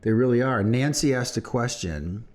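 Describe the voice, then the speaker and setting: A man's voice: mid-range voice, lively intonation, moderate pace, darkish tone. A man co-hosting a podcast in casual, unscripted chat, with an irreverent manner and free swearing. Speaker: podcast co-host.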